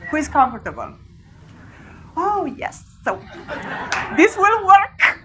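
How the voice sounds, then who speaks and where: A woman in her forties with a Romanian accent, giving a live talk to an audience with animated storytelling and frequent laughter. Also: very high voice, slow pace, noisy background. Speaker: speaker. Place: large event hall with an audience.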